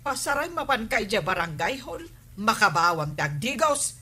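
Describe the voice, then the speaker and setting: A woman's voice: on the high side, very animated, normal speed, pushed and bright, slightly rough, heavily acted. A woman playing a village captain's exasperated Filipino wife in a radio comedy drama, scolding and complaining.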